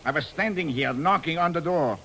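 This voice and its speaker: An old, slightly noisy radio drama recording of an indignant, anxious doctor. His voice is fairly high and piercing. He protests in stiff, formal phrases. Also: fairly quick, bright, forceful tone. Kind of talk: 1950s radio drama acting.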